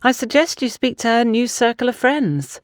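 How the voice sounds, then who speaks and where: A woman's voice: fairly high pitch, expressive, moderate pace, no echo, pitched above the performer's own voice, natural voice. Audiobook role: defensive young woman, performed by a female narrator, pleading her innocence in a dry, close recording. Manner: insistent, self-justifying. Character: defensive young woman excusing herself to accusers. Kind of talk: audiobook character dialogue.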